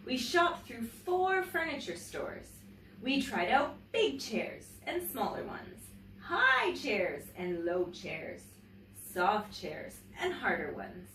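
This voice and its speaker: A woman teacher reading a picture book aloud to children in an expressive storytelling manner, pausing to comment and ask questions. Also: a little high. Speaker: teacher and story-time host. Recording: roomy, distant mic, faint background noise.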